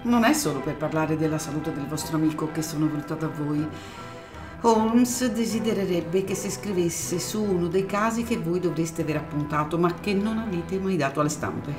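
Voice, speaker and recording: A woman's voice: fairly low pitch, normal speed, music in the background, natural voice. A woman voicing a determined older lady who speaks politely and formally. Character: Irene Adler, older, composed and determined. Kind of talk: amateur audio drama.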